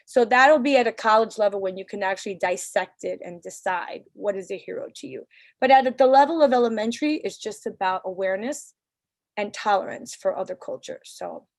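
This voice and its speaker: An earnest woman speaks casually over a video call, leading a parents' meeting. Her pitch sits mid-range, and the sound is close and dry, with no room echo. She often restarts her sentences as she talks. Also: brisk. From New York City. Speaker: parent council leader. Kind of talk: virtual community meeting talk.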